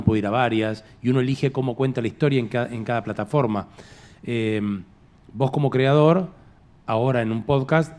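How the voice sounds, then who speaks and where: A man moderating a live panel, talking conversationally and rephrasing haltingly as he thinks aloud. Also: medium pitch, darkish tone. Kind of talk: conversational question-and-answer on stage.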